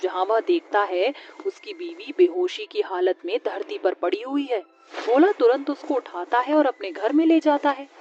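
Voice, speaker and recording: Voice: mid-range voice, lively intonation, moderate pace, a little mellow. A storyteller narrating a scripted horror story in the manner of a tale read aloud. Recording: dull lo-fi audio, faint noise.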